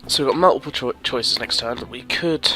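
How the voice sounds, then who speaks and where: A man's voice: mid-range voice, brisk, pushed and bright, soft background music. A man with a cold, sniffling as he chats casually through his card game, thinking aloud and rambling. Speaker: gaming commentator. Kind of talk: casual let's-play commentary.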